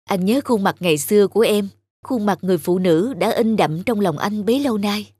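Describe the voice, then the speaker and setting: A man voicing a devoted, gently regretful husband in a cartoon, soft and sincere. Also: high voice, brisk, breathy edge, no room sound, clean studio sound. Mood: tender, regretful. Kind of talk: Vietnamese cartoon voice acting.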